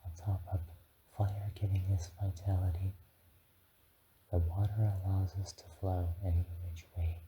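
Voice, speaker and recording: A man voicing a gentle young dragon guide in a soft, often whispered ASMR guided meditation, speaking soothingly. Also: sits low, flat delivery, unhurried, dull audio, a little hiss or hum. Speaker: ASMR performer.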